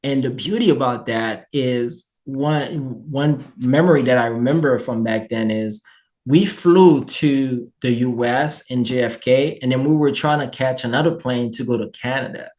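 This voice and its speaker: This is a man with a Haitian accent, a guest talking through his journey with upbeat energy. His pitch sits in an ordinary middle range. He laughs easily and tells his story in a loose, casual way. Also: a little slow, darkish tone. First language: Haitian Creole and French.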